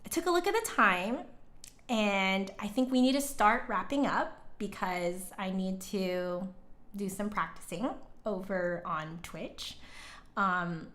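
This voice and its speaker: A woman's voice: mid-range voice, takes it slowly, fairly bright tone. A woman hosting a livestream, chatting casually with viewers in a giggly, rambling way full of asides. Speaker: livestream host, musician.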